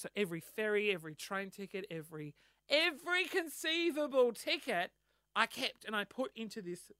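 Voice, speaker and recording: A man with an Australian accent, hoarse from losing his voice, telling a rambling, sweary, self-mocking story on his solo podcast. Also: sits high, pushed and bright, dry recording, studio quality. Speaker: podcast host.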